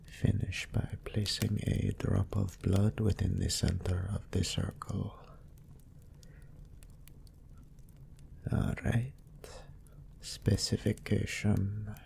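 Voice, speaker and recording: A man playing a smug, lustful demon incubus in an audio roleplay, musing languidly and sardonically in a gravelly, breathy voice. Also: low voice, flat delivery, slow, a little mellow, a little hiss or hum, exaggerated voice. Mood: focused, murmuring. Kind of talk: scripted character monologue in audio roleplay.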